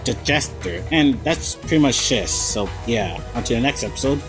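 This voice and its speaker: A man's voice: mid-range voice, expressive, moderate pace. A man giving informal video review commentary in a sarcastic, casually sweary manner. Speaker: video essayist. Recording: background music under voice. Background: music.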